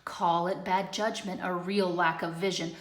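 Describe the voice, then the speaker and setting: A woman teacher reading a rhyming picture book aloud, expressive, moving between loud lines and hushed ones. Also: on the low side, unhurried. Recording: roomy echo.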